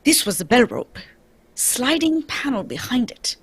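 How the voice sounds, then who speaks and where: A woman playing a shrewd male detective with a husky, theatrical delivery in an amateur radio drama. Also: on the high side, sing-song, unhurried, heavily acted. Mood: brisk, commanding. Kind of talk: amateur old-time radio drama reading.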